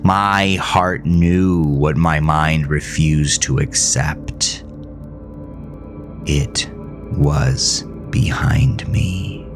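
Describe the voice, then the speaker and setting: Man acting a very deep-voiced, haunted, grieving farmer. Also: fairly even intonation, over music, heavily acted. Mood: grim, haunted. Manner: brooding, deliberate, theatrical, dropping at times into breathy near-whisper. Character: grieving, haunted farmer telling his supernatural ordeal. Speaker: narrator and lead character of a horror audio drama. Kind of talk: dramatic first-person horror narration.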